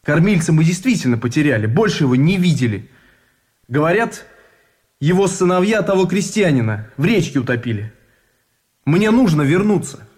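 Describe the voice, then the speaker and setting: A man's voice: fairly high pitch, very animated, normal speed, darkish tone. An actor playing a bitter, sardonic junior officer in his twenties, aggrieved at his fate, in a radio play. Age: 20s. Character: bitter young non-commissioned officer facing execution.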